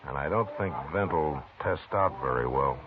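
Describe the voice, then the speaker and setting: An actor playing a stern, steady frontier marshal in a 1950s radio drama, with a calm, firm, clipped delivery. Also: male voice, extremely low pitch, moderate pace. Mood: thoughtful. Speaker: town marshal. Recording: tinny vintage radio broadcast with hiss.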